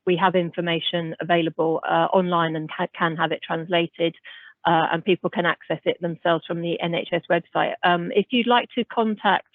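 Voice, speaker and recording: A woman answers a question over a thin, phone-like call line, with stammering restarts and a flat, even delivery. The audio is dry and narrow. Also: on the low side, moderate pace, dry recording. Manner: stammering restarts, then helpful. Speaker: health service staff member. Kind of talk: answering a question in an online meeting. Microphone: video call.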